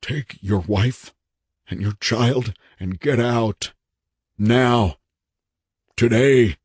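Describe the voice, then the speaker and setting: A frantic, haunted man in a horror audiobook. His voice is hoarse and strained, pushed up from the narrator's own, and it trembles with pleading urgency. The sound is close and dry. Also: medium pitch, very slow, darkish tone, no echo, voice pushed up. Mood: strained, menacing.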